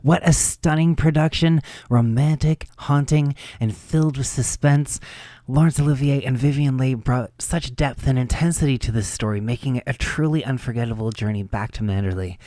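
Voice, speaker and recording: Male radio host, medium pitch, scripted presenting, dry studio sound. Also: normal speed, warm tone, no room sound.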